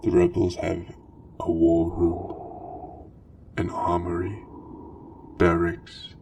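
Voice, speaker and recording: A man voicing a menacing armored dark lord who gives formal battle orders in a measured, breathy ASMR roleplay. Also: sits low, completely flat delivery, far from the mic, noisy background, exaggerated voice. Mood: stern, measured. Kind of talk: soft ASMR roleplay, scripted military briefing.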